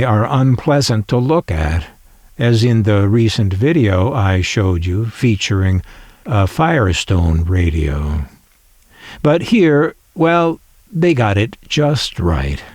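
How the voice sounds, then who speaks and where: Slow, dry-voiced man showing off a collectible. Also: fairly low pitch, darkish tone, dry recording. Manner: dry, wry asides while reading aloud from a manual. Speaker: collector video host. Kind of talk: unhurried descriptive show-and-tell.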